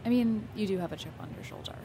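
An actress plays a self-conscious young woman who gently chides her friend. Her voice is mid-pitched and natural, in a comedy audio drama. There is faint noise in the background. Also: racing, lightly acted. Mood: chiding.

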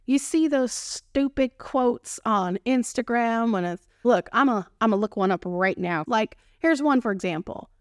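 A woman in her thirties with a Texan accent, hosting a solo podcast in a playful, expressive way with casual slang. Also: on the high side, measured pace, few pauses, smooth, no echo, studio quality. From Texas, United States. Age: 30s. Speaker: podcast host.

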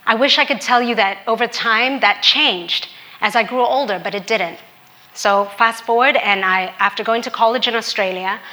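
A woman's voice: mid-range voice, sharp tone. A young woman from Hong Kong telling a personal story in an earnest, candid, reflective way.

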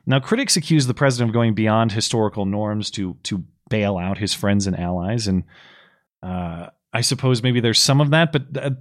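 Slightly hoarse man recapping news. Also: lowish voice, lively intonation, moderate pace. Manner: explanatory, recaps news with frequent fillers. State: slightly hoarse, losing his voice. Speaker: podcast co-host. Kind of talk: casual political commentary.

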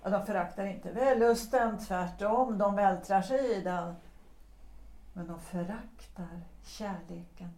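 A woman's voice: mid-range voice, warm tone, close to an everyday voice. A woman playing a disillusioned wife in an audio drama, speaking earnestly and often dropping to a whisper. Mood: bitter. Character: disillusioned wife who rejects an old lover. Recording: roomy echo.

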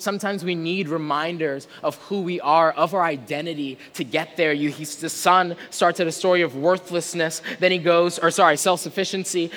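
A man's voice: fairly high pitch, fairly bright tone. A man preaching a conversational sermon, earnest and rhetorical, often checking in with his listeners. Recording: reverberant hall with long echo.

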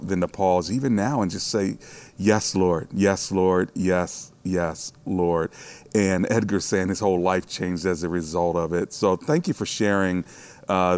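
A man with a fairly low voice hosts a church interview. He speaks in a pastoral, encouraging way, asking questions and addressing his listeners directly. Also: a little mellow, clean tone. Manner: pastoral, encouraging, prays aloud.

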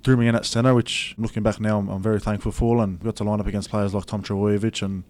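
A young man with an Australian accent giving relaxed, filler-heavy answers as an interview guest. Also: fairly low pitch, quick pace. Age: young adult. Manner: laid-back, many fillers and restarts. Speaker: rugby league player, guest.

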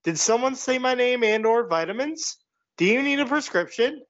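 A man playing a shady, brazen wrestling doctor in a comedic audio play, wordy and deadpan. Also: extremely high pitch, normal speed, dull audio. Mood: glib, salesman-like.

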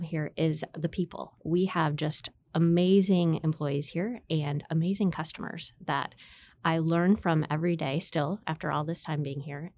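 A woman's voice: deep voice, darkish tone, no room sound. A middle-aged woman, a marketing executive guest, speaking in an upbeat, friendly way in an interview. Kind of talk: conversational interview.

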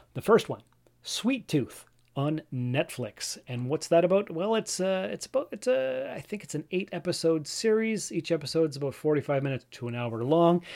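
A man's voice: fairly high pitch, darkish tone. A man in his forties hosting a casual solo show, rambling with frequent fillers and restarts and addressing his listeners directly. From Canada. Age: 40s. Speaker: podcast host.

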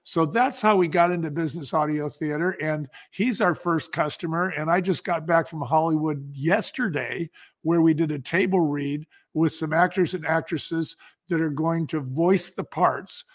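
A man's voice: a little high, normal speed. A man talking as a guest in a casual interview, telling stories about business with enthusiastic, salesmanlike delivery. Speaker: podcast guest, business founder. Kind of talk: casual interview talk, storytelling.

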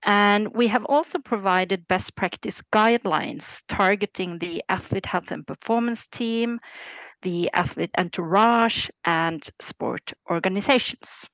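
A woman in her forties from Norway with a Norwegian accent, a professor speaking as a podcast guest, careful and measured with frequent fillers. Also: mid-range voice, a bit bright, no echo, poor audio. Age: 40s. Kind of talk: podcast interview.

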